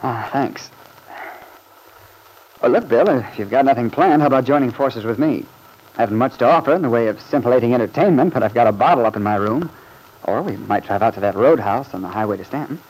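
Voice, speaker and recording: An actor playing a wry, urbane bachelor in an old-time radio drama, with a wordy, sociable manner. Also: male voice, mid-range voice, expressive, a little fast, darkish tone, a little hiss or hum, natural voice, poor audio. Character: urbane bachelor man about town, wry, sociable friend.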